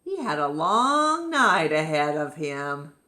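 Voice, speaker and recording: A woman's voice: deep voice, sing-song, slow, bright, forceful tone, reverberant. A woman narrating a children's picture book expressively as a storytime reader.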